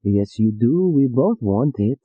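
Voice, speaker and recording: A woman doing a smooth, predatory male seducer. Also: animated, a little slow, dry recording, highs cut off, heavily acted. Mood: coaxing. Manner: low, insistent, coaxing.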